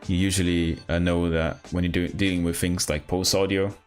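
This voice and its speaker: A man hosting a live coding stream, talking casually and haltingly as he thinks aloud and asks himself questions. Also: low voice, flat delivery, soft background music. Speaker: livestream host, programmer.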